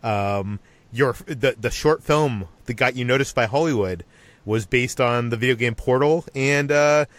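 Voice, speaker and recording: A male podcast host speaks in an ordinary mid-range voice, recorded dry and close. He talks casually and haltingly, stumbling over starts as he steers the discussion. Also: medium pitch, dry recording. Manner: halting, stammering delivery.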